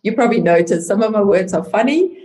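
A woman's voice: medium pitch, animated, fast, warm tone. A middle-aged woman from South Africa whose first language is Afrikaans, talking earnestly about her faith as a guest in an interview and laughing easily.

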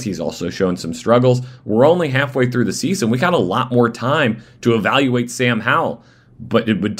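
A man hosting live sports talk radio, fighting a voice that is going, talking in restarts and quick asides. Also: lowish voice. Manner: animated, restarting phrases. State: losing his voice. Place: radio studio.

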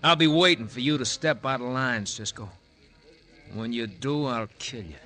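Actor as menacing Western gang boss over music. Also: male voice, medium pitch, normal speed, lightly acted. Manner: threatening, tough. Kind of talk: old-time radio drama acting. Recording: old radio broadcast with loud music.

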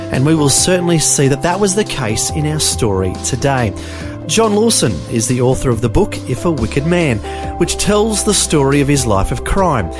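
An Australian man hosts a radio program in a fairly high, very lively voice. He reads a scripted introduction, with clear instrumental music playing behind him.

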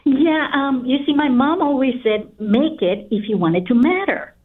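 A woman's voice: high voice, expressive, moderate pace, slightly rough. A middle-aged woman from Guatemala speaking as an interview guest, telling her own story conversationally. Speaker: interview guest, company founder. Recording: slightly distant, narrow phone-like sound.

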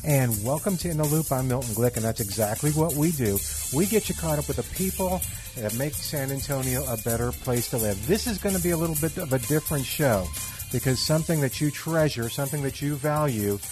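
A man's voice: medium pitch, lively intonation, a little fast, over music. A man hosting a radio show, talking in an informal, conversational way with loose run-on phrases.

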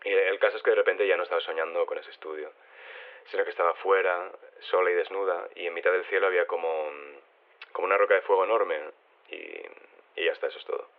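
An actor playing a shy body-swapped caller, halting and understated, heard through a telephone filter. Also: male voice, deep voice, fairly even intonation. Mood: hesitant, matter-of-fact. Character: shy woman living inside a man's body. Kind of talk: naturalistic phone interview in audio drama. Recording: narrow, tinny phone line.

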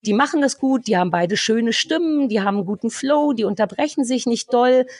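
A woman co-hosting a podcast, talking casually in an animated, blunt ranting manner with some swearing. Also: fairly high pitch, brisk, no echo. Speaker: podcast co-host. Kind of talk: casual review chat.

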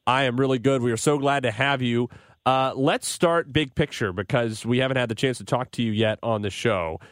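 A male radio host presents a live sports talk show. His voice sits at a medium pitch, and the sound is dry and close, with very little room around it. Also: a little fast, no echo.

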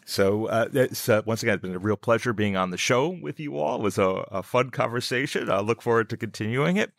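A man with a New York accent chatting casually as an interview guest, halting and often repeating his words. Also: mid-range voice, creaky, no echo, studio quality. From New York City, United States. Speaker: podcast guest.

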